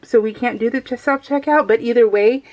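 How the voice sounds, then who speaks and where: A woman's voice: fairly high pitch, a little fast, darkish tone, muffled recording. A middle-aged American woman chatting casually and informally as she narrates her craft project, in a rambling, self-deprecating way. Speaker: crafting vlogger. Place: indoors at a craft table, voiced live while working.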